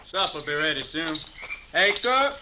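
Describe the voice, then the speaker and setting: An actor playing a talkative, hospitable buffalo hunter in a 1950s radio drama, with a folksy manner. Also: male voice, a little high, sing-song, unhurried, noisy background. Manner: folksy, twangy, chuckling.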